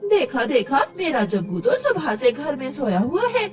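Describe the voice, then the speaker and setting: A woman voicing a scolding, deceitful mother crow in a cartoon story, dramatic and sharp-tongued. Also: sits high, huge pitch swings, unhurried, recorded from a distance, soft background music, exaggerated voice. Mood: mock outrage, scolding. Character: scolding, deceitful crow mother who coddles her son.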